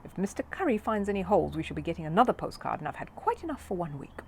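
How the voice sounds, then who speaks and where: A woman's voice: lowish voice, rapid-fire, slight background noise. Woman acting a stern, no-nonsense housekeeper. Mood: warning. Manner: flat, decided, scolding. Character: grim, no-nonsense older female housekeeper, strict and decided.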